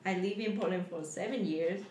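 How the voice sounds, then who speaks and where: A woman's voice: on the low side, fairly quick, a little mellow. Podcast guest: young Vietnamese-accented woman, loose chatty delivery, recorded off-mic with faint hiss. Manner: chatty, many fillers, loose grammar. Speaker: guest, coffee shop manager. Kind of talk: casual podcast chat. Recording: roomy echo, distant mic, faint noise.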